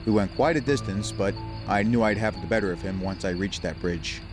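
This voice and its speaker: A man playing a smug, scheming talking train engine in an audio drama, with an ominous, deliberate delivery. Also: fairly low pitch, lightly acted. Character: scheming, smug male engine, spinning ghost tales. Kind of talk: audio drama character acting. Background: music, cricket and insect night sounds.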